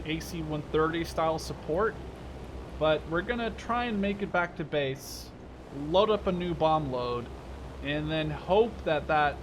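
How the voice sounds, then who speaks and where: A man's voice: fairly high pitch, noisy background. A man in his twenties giving casual, joking, slangy live gameplay commentary as a gaming video host. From Canada. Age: 20s. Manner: self-deprecating, joking, slangy. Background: game vehicle sounds.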